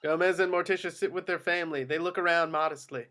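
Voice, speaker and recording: A man's voice: a little high, fairly even intonation, quick pace, bright, forceful tone. A man reading out screenplay action directions as scripted narration at a table read. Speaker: action-line reader.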